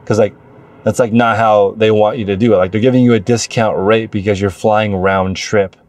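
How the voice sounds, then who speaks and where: A man's voice: lowish voice, steady tone, normal speed, a little mellow, dry recording. An American man in his forties talking casually as a vlog host, explaining things in a conversational, anecdotal way into a lapel mic in a car. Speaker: host, reseller vlogger. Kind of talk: casual explanatory monologue to camera. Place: inside a parked car. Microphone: lapel microphone clipped to shirt.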